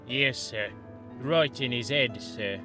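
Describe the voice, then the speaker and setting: A man's voice: mid-range voice, takes it slowly, over music, lightly acted. A man voicing an old male college manservant who is fussy and gossipy, with rustic grammar and constant deference. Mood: worried. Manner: deferential, rustic grammar, says sir a lot.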